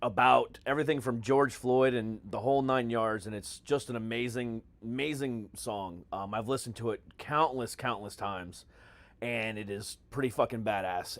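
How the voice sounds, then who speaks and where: A man's voice: fairly low pitch, normal speed, dry recording. Brash American man hosting a podcast, dry close sound. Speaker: podcast host. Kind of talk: casual, profane podcast talk.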